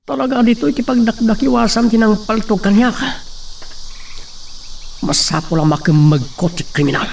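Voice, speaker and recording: A man's voice: high voice, moderate pace, gravelly, long pauses, slight background noise. A man acting a tense scene in a radio drama, talking to himself, with music underneath.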